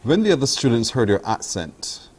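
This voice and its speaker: A man's voice: medium pitch, sing-song, normal speed, a little mellow. A man hosting a morning show, asking probing, conversational questions. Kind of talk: interview questions.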